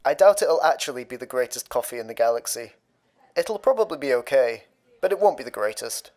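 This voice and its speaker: An actor playing a literal-minded, deadpan artificial intelligence living in a kettle in a radio sitcom. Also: male voice, mid-range voice, a little fast, lightly acted. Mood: dry, resigned. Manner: deadpan, pedantic. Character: hapless, literal-minded, dryly pedantic AI in a kettle.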